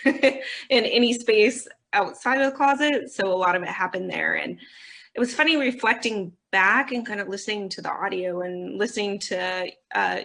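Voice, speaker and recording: Woman guest on a panel, casual and reflective, bright voice with lots of fry. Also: medium pitch. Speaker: audio company executive, panel guest.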